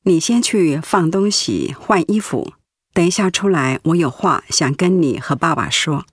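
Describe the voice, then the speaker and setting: Husky, gentle ailing mother. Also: fairly low pitch, lively intonation, a little slow, no echo, studio quality. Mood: calm. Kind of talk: Mandarin radio drama acting.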